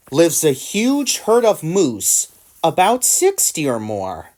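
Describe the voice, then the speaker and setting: Lady reading a rhyming kids book out loud, low voice, slow, lots of expression. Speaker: storybook reader. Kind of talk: expressive rhyming storybook narration.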